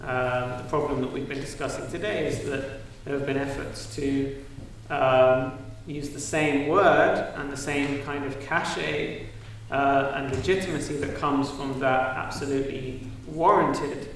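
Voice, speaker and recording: A man's voice: mid-range voice, steady tone, measured pace, hardly any pauses, a bit bright. A man on a debate panel speaking with careful, hedged phrasing and fillers. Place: large university auditorium. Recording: echoey hall, far from the mic, faint hiss.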